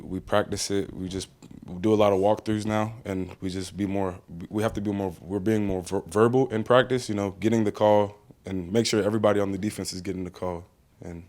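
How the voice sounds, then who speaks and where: Low-voiced young American man, casual. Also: a little mellow. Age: young adult. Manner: casual, plainspoken, with frequent fillers. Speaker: college football player. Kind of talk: press conference answers.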